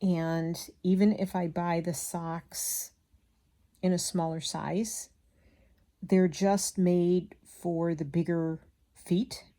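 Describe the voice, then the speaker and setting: A woman chatting casually as she hand stitches, rambling and absent-minded, muttering to herself, with a slightly gravelly voice. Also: lowish voice, flat delivery, takes it slowly, dark tone. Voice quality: slightly gravelly from a frog in the throat. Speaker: craft vlogger.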